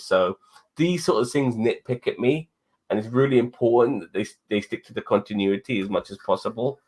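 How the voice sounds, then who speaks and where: A man in his forties, co-hosting a casual chat, rambling and swearing often as he talks. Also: lowish voice. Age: 40s. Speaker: podcast co-host.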